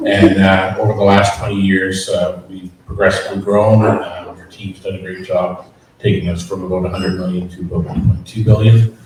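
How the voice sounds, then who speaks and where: Deep-voiced man on a panel, echoey room. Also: level tone, normal speed. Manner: easygoing, joking, with uh and um fillers. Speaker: credit union executive. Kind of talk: panel discussion. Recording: echoey room, distant mic, faint noise.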